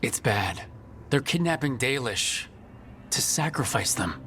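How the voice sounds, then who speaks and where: A man's voice: medium pitch, lively intonation, measured pace, a bit bright, slight background noise. An actor playing a level-headed, determined male adventurer hero in a video game, protective and grim. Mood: urgent.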